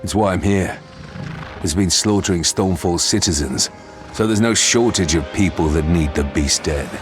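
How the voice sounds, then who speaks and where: A man's voice: low-pitched, normal speed, over music, close to an everyday voice. An actor playing a curious male warrior who questions a stranger. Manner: questioning, plain.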